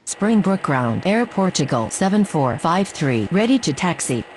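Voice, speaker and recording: A woman's voice: lowish voice, sing-song, measured pace, a little mellow. A woman voicing pilots and ground controllers in formal, scripted radio phraseology. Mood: businesslike. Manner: formal, procedural delivery.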